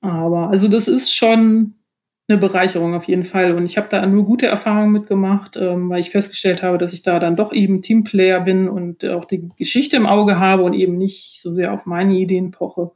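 A woman with a mid-pitched voice talks as a guest author in a relaxed interview. She feels her way through sentences in a conversational, unscripted way. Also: normal speed. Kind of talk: casual interview talk.

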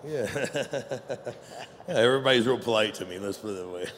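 A man's voice: mid-range voice, normal speed, fairly bright tone, slight background noise. An older man, a guest, chatting in a laid-back, modest way with dry humor. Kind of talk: casual chat. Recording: long hall reverb.

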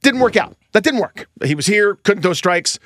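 Man, bright forceful voice, dry close sound, hyperbolic, emphatic, casual sports podcast discussion. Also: sits high, lively intonation, moderate pace, dry recording.

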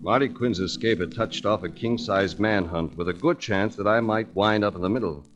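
A man with an American accent playing a suave, wry insurance investigator who narrates his case in measured 1940s radio drama style. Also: on the low side, lo-fi sound, close to an everyday voice. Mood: narrating.

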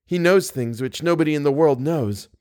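A man's voice: on the high side, lively intonation, moderate pace, darkish tone, no room sound, studio quality, voice pushed up, lightly acted. A man voicing an anxious, devoted young woman singer in an audiobook, pleading and exclaiming dramatically. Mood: earnest.

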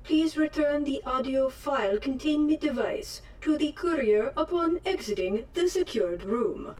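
A woman's voice: high voice, expressive, unhurried, a little mellow, far from the mic. A woman playing a dutiful, emotionless computer assistant with formal, literal phrasing in a sci-fi audio drama. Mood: flat, procedural.

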